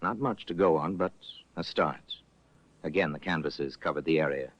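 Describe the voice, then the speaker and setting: A man narrating a radio crime drama in a measured, grave manner. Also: extremely low pitch, a bit bright. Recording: muffled old radio broadcast.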